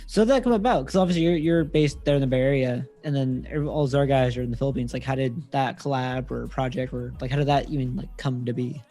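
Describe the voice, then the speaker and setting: A man hosting a casual interview, relaxed and loosely phrased, often giving short affirmations. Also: mid-range voice, fairly quick, few pauses, soft background music. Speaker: podcast host, interviewer.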